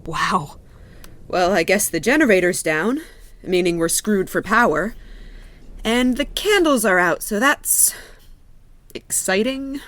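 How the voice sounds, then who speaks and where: A woman's voice: mid-range voice, animated, takes it slowly, pauses often, a bit bright. A woman playing a practical young mechanic in an audio drama, dry and sarcastic. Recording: faint hum and noise.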